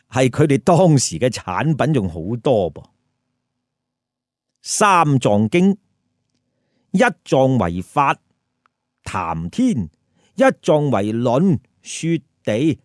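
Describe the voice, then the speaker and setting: A man's voice: mid-range voice, darkish tone, no echo, studio quality. A man telling a story with comic commentary, colloquial and putting rhetorical questions to listeners. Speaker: storyteller, host.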